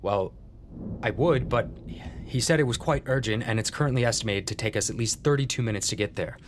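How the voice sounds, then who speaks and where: An actor playing a polite, brisk male aide relaying orders in an audio drama. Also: mid-range voice, slight background noise, natural voice.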